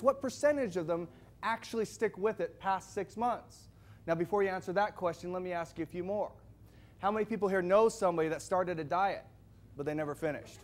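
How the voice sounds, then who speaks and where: A man giving a loud, projecting sales presentation to a live audience, firing questions at the crowd. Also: sits high. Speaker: product presenter.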